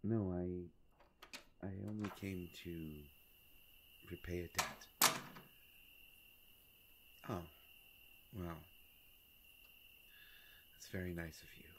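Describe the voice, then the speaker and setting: Man acting as a deep, slow, courteous vampire. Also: little pitch movement, noisy background. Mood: polite, reserved. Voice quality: gravelly, creaky low voice. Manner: polite, hesitant, formal, with long pauses. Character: courteous, melancholy male vampire, formal and old-world. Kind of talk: scripted roleplay audio drama addressed to the listener.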